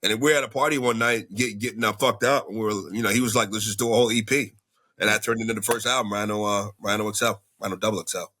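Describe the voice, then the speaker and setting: Laid-back husky man, low voice. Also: fairly even intonation, pushed and bright. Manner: laid-back, slow, many fillers. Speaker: rapper. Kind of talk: casual interview chat.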